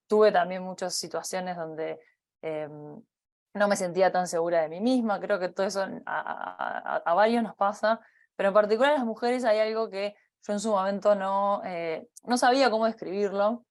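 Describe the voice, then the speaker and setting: A woman in her thirties with a Uruguayan accent, giving a personal career talk, pausing often with fillers and restarting her phrases. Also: mid-range voice. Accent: Uruguayan Spanish. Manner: hesitant, many fillers and restarts. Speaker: company partner giving a talk.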